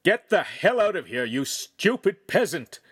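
A man voicing a cruel, mocking nobleman villain in an audiobook, with a smug, contemptuous, drawn-out manner. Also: mid-range voice, sing-song, no echo, studio quality, voice pushed up, natural voice. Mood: enraged.

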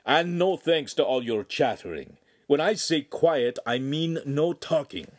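Man playing a stern, animated master craftsman. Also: fairly high pitch, measured pace, no room sound, clean studio sound, pitched above the performer's own voice. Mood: irritated. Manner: scolding, obsequious to superiors. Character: stern, gruff master craftsman, fawning to his patron.

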